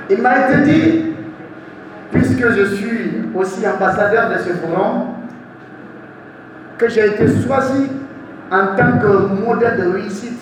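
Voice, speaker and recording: A young man with a Guinean accent speaks in a high voice as a panel moderator. His delivery is slow, formal and deliberate, addressed to a forum audience. The sound is very echoey, as in a large hall. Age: young adult. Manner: formal, deliberate, repeats phrases for emphasis. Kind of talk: public speech to a forum audience. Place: large echoey room at a live event. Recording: echoey hall, distant mic, faint noise.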